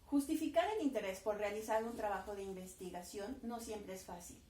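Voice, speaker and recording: A woman, asking interview questions in a calm, direct way. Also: medium pitch, brisk. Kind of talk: interview questions and scripted intro. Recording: echoey room, mic at a distance.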